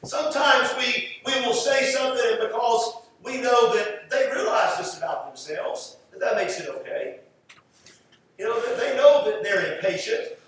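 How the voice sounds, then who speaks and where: A man with a Southern US accent preaching a sermon emphatically, repeating phrases and pausing for effect. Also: very high-pitched, fairly bright tone. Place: large echoey room, preaching to a congregation. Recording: echoey room, distant mic, faint noise.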